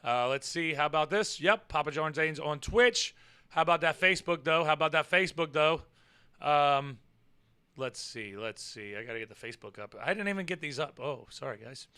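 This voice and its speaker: Hoarse, excitable livestream host. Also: male voice, a little high, moderate pace. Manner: rambling, swearing, chatty, bursts of loud excitement. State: hoarse, voice gone from yelling. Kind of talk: casual livestream chat with viewers.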